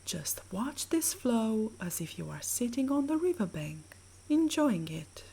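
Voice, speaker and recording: A woman with a soft, breathy voice narrating a scripted guided sleep meditation in a soothing, measured, gently instructing way. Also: fairly high pitch, big pitch swings.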